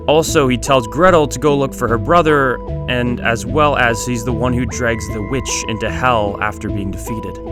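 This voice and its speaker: Man, medium-pitched voice, video essay host, scripted narration, guitar music behind.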